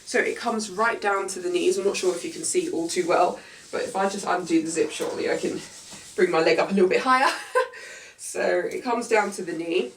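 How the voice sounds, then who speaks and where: A woman's voice: fairly low pitch, brisk, a little hiss or hum, poor audio. A young woman with a nasal voice chatting casually through an unboxing and try-on video, enthusiastic and narrating what she handles, recorded in a small room. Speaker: fashion vlogger.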